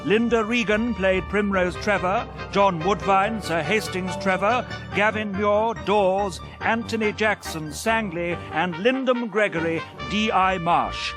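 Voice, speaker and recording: A man's voice: sits high, measured pace, hardly any pauses. A man reading scripted closing credits as a radio drama announcer. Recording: music behind the announcement.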